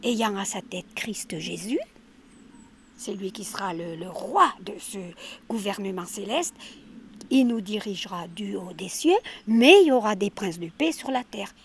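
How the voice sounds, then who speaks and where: A woman's voice: medium pitch, expressive, a little slow, slight background noise. An older woman from France chatting outdoors by her garden during a street interview, talkative and emphatic, often repeating her words. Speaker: villager stopped for a street interview. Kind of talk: casual roadside chat.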